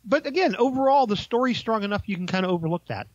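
A man's voice: high voice, animated, quick pace, dry recording, lo-fi sound. A man giving a casual, informal film review, talking in a hesitant, rambling way.

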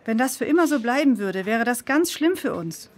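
A woman's voice: on the high side, expressive, moderate pace, close to an everyday voice. Sincere, reassuring female friend in a children's radio play acted by a woman. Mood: earnest. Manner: earnest, reassuring.

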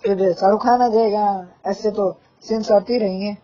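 A man's voice: sits high, fairly even intonation, normal speed, warm tone, a little hiss or hum. A young man on a casual phone call, ranting insistently with playful threats in a nagging manner. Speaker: caller, gaming fan. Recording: muffled lo-fi phone call recording.

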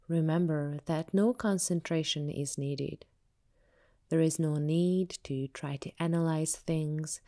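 Woman, guided meditation teacher, soft mellow tone, very slow pace, soothing and measured. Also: sits low. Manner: soothing, measured, unhurried instruction. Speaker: yoga teacher guiding meditation.

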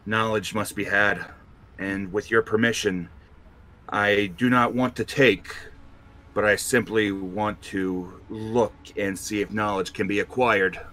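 A man voicing a courteous, grave adventurer who speaks in a formal, deliberate way. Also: fairly low pitch, fairly even intonation, pushed and bright. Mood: grave, respectful. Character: courteous, grave male adventurer seeking knowledge. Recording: music behind the voice.

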